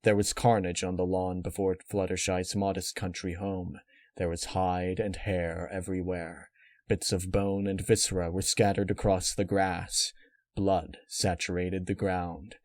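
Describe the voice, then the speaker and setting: Guy reading a grimdark story, lowish voice, measured dramatic narration, dry recording. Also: flat delivery, darkish tone, dry recording. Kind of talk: scripted dark fan-fiction narration.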